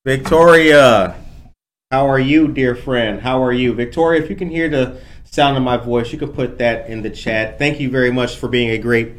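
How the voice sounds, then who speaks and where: Male host, measured, hesitant, lots of ums, mid-pitched. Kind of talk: online interview show.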